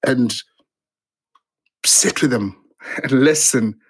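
A man from Zimbabwe, a playwright being interviewed, talking reflectively and haltingly with many restarts and fillers. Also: mid-range voice, slightly rough. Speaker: playwright guest.

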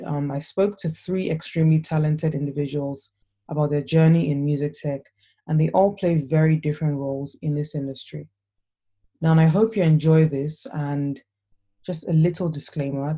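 A woman hosting a remotely recorded podcast interview, talking in a soft, relaxed way. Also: deep voice. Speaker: podcast host. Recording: not studio quality, remote.

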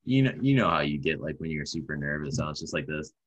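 Laid-back man, late 20s, very deep dry voice, casual podcast guest who laughs and swears a lot. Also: lively intonation, fast, a bit bright, no echo. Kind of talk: casual chat.

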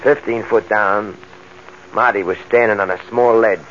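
Actor as slangy New crook, muffled old radio. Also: male voice, on the low side, steady tone, moderate pace, close to an everyday voice. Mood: matter-of-fact narrating. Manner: colloquial, tough-guy slangy. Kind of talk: 1940s radio crime drama acting. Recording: muffled old-time radio recording, background hiss.

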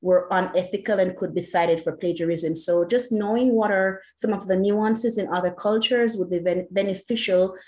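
Jamaican lady educator in an interview, passionate, lots of "you know"s, middle pitch. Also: fairly even intonation, moderate pace, few pauses, dark tone. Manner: earnest and passionate, many "you know" fillers. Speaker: educator and academic. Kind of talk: interview answer.

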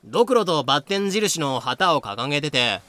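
A man's voice: on the high side, very animated, moderate pace, bright, forceful tone. A man playing a slack, clueless sailor with a laid-back, bewildered manner in an amateur audio drama. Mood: puzzled.

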